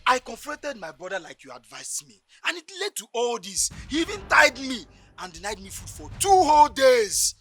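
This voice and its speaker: A man voices a young Nigerian boyfriend who begs and protests, his voice swinging high and wide. The tone is bright and ringing. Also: wildly swooping pitch, normal speed, hardly any pauses, full character voice. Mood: pleading. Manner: pleading, rising into shouting. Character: pleading young boyfriend turned furious.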